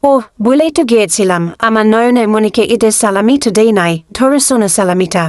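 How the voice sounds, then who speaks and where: A woman's voice: on the high side, a little fast, darkish tone, no echo, natural voice. A woman voicing a doting, affectionate grandmother in a children's cartoon, speaking tenderly.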